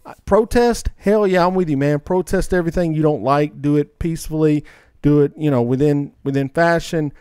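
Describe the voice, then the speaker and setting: A man's voice: on the high side, normal speed, warm tone, clear, resonant tone, no echo, clean studio sound. A man co-hosting a casual podcast, emphatic and slangy, often repeating himself to press a point. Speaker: podcast co-host. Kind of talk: casual opinionated podcast chat.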